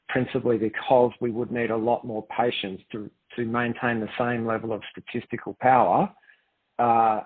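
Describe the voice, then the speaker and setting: A man speaking as a company executive on a conference call, presenting and answering in careful, formal corporate phrasing. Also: mid-range voice. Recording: dull, lo-fi conference call line.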